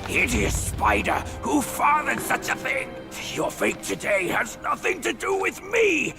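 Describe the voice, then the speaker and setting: A man voicing a brash, lecherous and cowardly pig demon in a video game, with a gravelly, theatrical, mocking delivery. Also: very high voice, huge pitch swings, measured pace, exaggerated voice. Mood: outraged, mocking. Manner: theatrical, blustering, prone to shouting. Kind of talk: video game cutscene acting. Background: dramatic game music.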